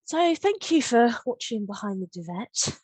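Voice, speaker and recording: A woman hosting a casual behind-the-scenes chat, gushing and giggly as she retells things in an animated way. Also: a little high, moderate pace, slightly rough, dry recording. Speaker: podcast host and audio drama producer.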